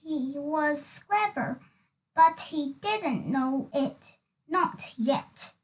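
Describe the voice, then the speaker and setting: A woman reading a children's story aloud in an expressive, deliberate way. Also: high voice, recorded from a distance, rough recording. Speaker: storybook reader.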